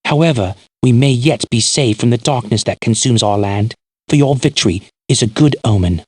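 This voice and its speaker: A man playing a grave townsman in a fantasy video game, solemnly and formally recounting dark history. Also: mid-range voice, normal speed, husky, no room sound, close to an everyday voice. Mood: grave, sorrowful. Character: grave male townsman recounting his cursed king's downfall. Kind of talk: video game character acting.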